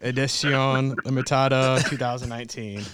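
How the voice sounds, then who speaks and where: A man's voice: mid-range voice, level tone, normal speed, fairly bright tone. A young man chatting casually and reading a list aloud as a guest.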